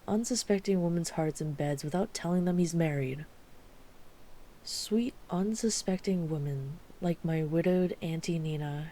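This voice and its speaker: A woman's voice: on the low side, mellow, slight background noise, close to an everyday voice, poor audio. A woman playing a wry, chatty, flirtatious mafia boss with an apologetic streak in an ASMR roleplay. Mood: indignant. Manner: wry, exasperated, chatty, teasing. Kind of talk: ASMR roleplay audio drama.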